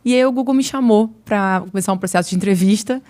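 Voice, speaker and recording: A woman in her forties from Niterói, with a Rio de Janeiro accent softened by years in São Paulo, talking casually as an interview guest and acting out bits of dialogue as she tells stories. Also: mid-range voice, fast talker. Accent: Rio de Janeiro Portuguese, softened by years in São Paulo. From Niterói, Brazil. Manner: animated storyteller who acts out quoted dialogue. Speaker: guest, marketing executive.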